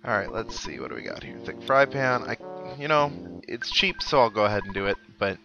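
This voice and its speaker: A man with a fairly low voice hosts a casual let's-play over prominent synth game music. His delivery is wry and sarcastic as he plays and reads game text aloud, and the recording is slightly dull and lo-fi. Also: very animated, brisk, creaky. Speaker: gaming show host, player. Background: synthesized video game music.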